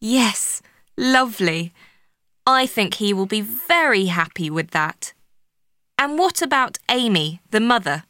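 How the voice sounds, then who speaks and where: Slow, deliberate woman in paired discussion. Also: medium pitch, expressive, sharp tone, dry recording, studio quality. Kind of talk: paired speaking-task discussion.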